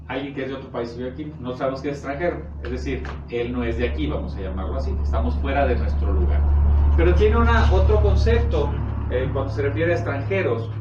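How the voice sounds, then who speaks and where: Distant muffled recording, clear background noise, man, Mexican accent, preacher, informal teaching style, halting conversational delivery. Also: medium pitch. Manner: conversational, halting, with rhetorical check questions. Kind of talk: informal sermon, explaining scripture. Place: echoey indoor congregation room. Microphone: distant microphone. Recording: echoey, noisy, muffled room recording from far off.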